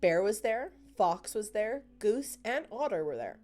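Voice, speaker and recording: A woman's voice: mid-range voice, big pitch swings, measured pace, darkish tone, soft background music. A woman narrating a children's picture book as a scripted storytime read-aloud.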